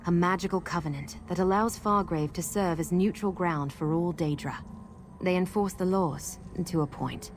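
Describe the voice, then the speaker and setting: Low-voiced, guarded fantasy heroine, played by an actress. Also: female voice, moderate pace, soft background music, lightly acted. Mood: explaining. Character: guarded, urgent masked woman, cult-fighting fantasy protector. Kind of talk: video game character dialogue.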